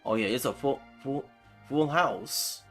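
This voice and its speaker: A man's voice: mid-range voice, a little slow, fairly bright tone. A man livestreaming a game with casual, laid-back commentary, often repeating his phrases. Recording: game music faintly behind.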